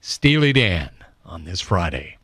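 A man's voice: sits low, very animated, measured pace, a bit bright, breathy edge, dry recording. A middle-aged American man doing upbeat, conversational classic rock DJ patter on a studio microphone. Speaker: radio DJ and show host. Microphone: close studio broadcast microphone.

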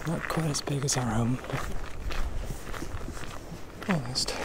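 A young man speaking softly and gently in an unhurried, musing ASMR roleplay, with pauses, while walking outdoors. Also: medium pitch. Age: young adult. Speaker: ASMR creator. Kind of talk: soft-spoken ASMR roleplay, casual monologue. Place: outdoors on night streets, walking. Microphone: handheld camera at arm's length, wind-buffeted. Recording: loud wind and outdoor noise.

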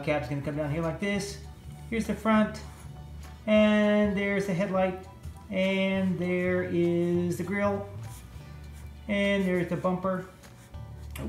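American man teaching drawing in an echoey room. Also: high voice. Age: middle-aged. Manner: playful, folksy, informal. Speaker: children's drawing instructor. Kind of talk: casual step-by-step art demonstration. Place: indoor room, at a drawing board. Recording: roomy echo with music underneath.

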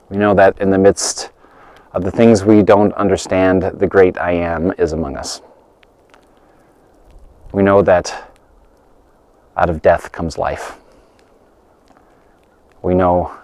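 A middle-aged man pastor giving a calm, reflective devotional message outdoors by a snowy lake. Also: low voice, no room sound.

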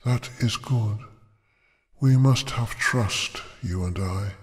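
A man voicing an ancient, imprisoned female dragon goddess who speaks slowly and with command. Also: fairly low pitch. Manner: slow, measured, otherworldly.

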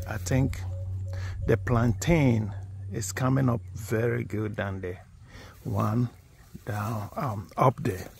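A man's voice: mid-range voice, creaky, darkish tone, slight background noise. A man from Ghana walking through his farm, plainly pointing out crops, recorded outdoors on a handheld phone. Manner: slow, plain, pointing things out. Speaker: farmer giving a farm tour. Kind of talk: casual walking tour narration. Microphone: phone held while walking.

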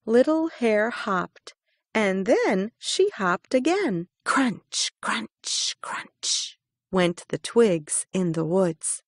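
A woman reading a children's picture book aloud, slow and expressive. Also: medium pitch, dry recording, studio quality. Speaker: reader.

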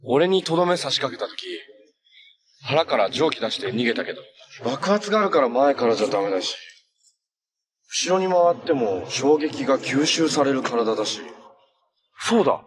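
A man's voice: medium pitch, lively intonation, normal speed, a crowd in the background, lightly acted. A young man acting an upbeat, kind-hearted adventurer and hero in a live-action TV superhero drama, speaking in an earnest, friendly, boyish way. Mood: serious, focused. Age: young adult.

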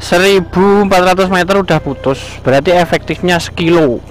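A man's voice: high voice, fairly quick, a little hiss or hum, rough recording. A man from Indonesia, chatting and commenting casually in slangy, repetitive phrases as he rides a motorbike outdoors. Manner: slangy, mixes local speech with formal narration, repeats phrases. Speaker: motovlogger and host. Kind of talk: casual chat and commentary while riding. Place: outdoors on a motorbike on a village road.

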